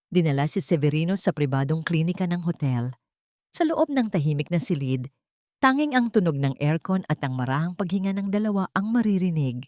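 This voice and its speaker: A woman's voice: low-pitched, darkish tone, no echo, clean studio sound. A woman with a Filipino accent narrating a scripted dramatic story as an audiobook narrator.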